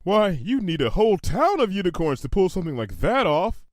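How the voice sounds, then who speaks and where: A scheming unicorn mare from a storybook, acted out by a man, pitched well up and swooping freely. She is theatrical and sure of herself, declaiming her musings in a very dry, close recording. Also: sing-song, a little fast, no echo. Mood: smug, eager. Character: female unicorn pony, scheming, theatrical, self-assured conspiracy zealot. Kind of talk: fan fiction storybook character voice.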